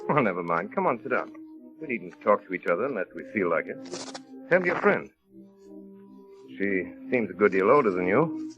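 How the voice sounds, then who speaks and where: An actor playing a brusque, brooding middle-aged widower in a 1940s radio drama, abrupt and imperious in manner. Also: male voice, on the low side, big pitch swings, normal speed, long pauses. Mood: brusque, commanding. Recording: dull vintage radio recording, music behind.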